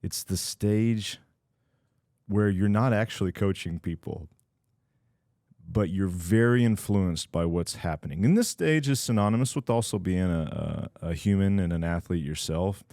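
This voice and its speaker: A man with a very low, mellow voice hosts a casual podcast. He jokes around with his co-host, then settles into long explanations. The sound is close and dry. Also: unhurried, dry recording. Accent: American. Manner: playful, teasing, then explains in long stretches.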